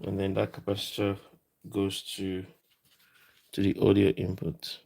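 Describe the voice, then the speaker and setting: A man presenting a casual hands-on electronics tutorial, explaining as he works in a halting, informal way, thinking aloud. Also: deep voice, completely flat delivery, gravelly, warm tone.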